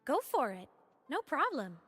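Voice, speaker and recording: A woman's voice: sits high, sing-song, a little slow, a bit bright, long reverb tail, soft background music, full character voice. A woman playing a breezy, upbeat young student sidekick in a video game, casual and chirpy.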